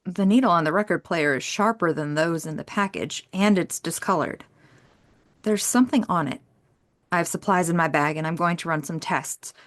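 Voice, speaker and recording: A woman's voice: lowish voice, moderate pace, close to an everyday voice. A woman playing a serious, methodical investigator in an audio theater mystery, with a clinical, exact manner. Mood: clinical, intent. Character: methodical, serious female investigator with forensic skills.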